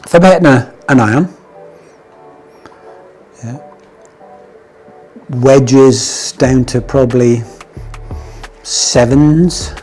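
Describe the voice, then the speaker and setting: A man's voice: medium pitch, very slow, long pauses, a little mellow, soft background music. A middle-aged Scottish man coaching golf in a casual, blunt and jokey way, often checking in with "yeah?". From Scotland. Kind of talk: casual instructional talk.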